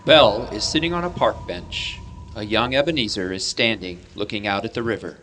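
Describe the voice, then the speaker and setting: A man's voice: fairly low pitch, fairly quick, a bit bright, a little hiss or hum. A man giving scripted narration for a radio play.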